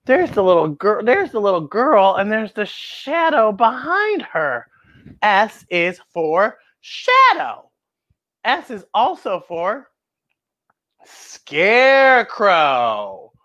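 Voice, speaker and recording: A woman hosting a children's story time, talking and reading playfully to young kids, with exaggerated exclamations, drawn-out words and questions to the listeners. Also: mid-range voice, very animated, fairly bright tone, muffled recording. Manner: draws out words for emphasis. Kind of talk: playful read-aloud and chat to young children.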